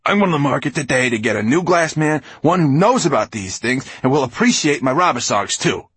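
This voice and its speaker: A man's voice: low-pitched, measured pace, slightly rough, no room sound. A man voicing a vain, irritable old male poet in an audiobook story, lofty and theatrical. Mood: outraged. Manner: pompous, theatrical, easily exasperated.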